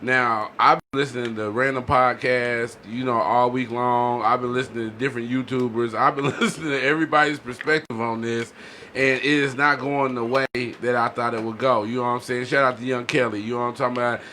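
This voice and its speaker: Bright-voiced man hosting a slangy podcast debate. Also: medium pitch, steady tone, brisk, a little hiss or hum. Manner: slangy, opinionated, frequent "you know" tags. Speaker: podcast host.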